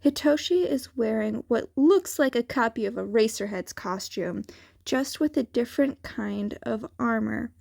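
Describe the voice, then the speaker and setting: Slow-paced woman reading a story, close and dry. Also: fairly high pitch, lively intonation, vocal fry, a little mellow, no room sound. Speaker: podfic reader. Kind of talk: scripted fan fiction narration.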